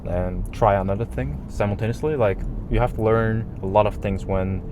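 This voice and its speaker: A man's voice: lowish voice, moderate pace, noisy background. A man whose first language is Japanese rambles casually to his vlog audience in slangy, non-native English. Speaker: vlogger.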